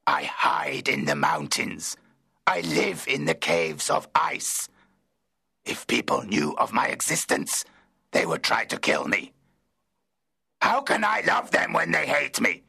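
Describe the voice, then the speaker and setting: A man voicing a miserable, lonely monster made by a man, slow and bitter as he pleads and threatens in an audiobook. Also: mid-range voice, huge pitch swings, pushed and bright, exaggerated voice. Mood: pleading bitterly. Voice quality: gravelly, slow and brooding. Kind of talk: audiobook character voice.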